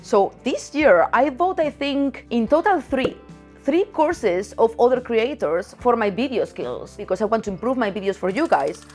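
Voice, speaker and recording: A woman's voice: on the high side, expressive, normal speed, a little mellow, soft background music. A woman in her thirties from Spain with a Spanish accent, talking frankly and chattily to camera as a photography vlogger, laughing easily. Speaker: photography youtuber. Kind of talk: casual direct-to-camera talk.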